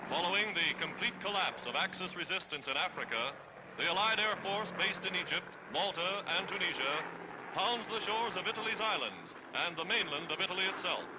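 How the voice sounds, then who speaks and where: High-pitched 1940s American newsreel narrator, male. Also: animated, brisk, heavy background noise. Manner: declamatory, formal, emphatic. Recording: vintage 1940s newsreel soundtrack.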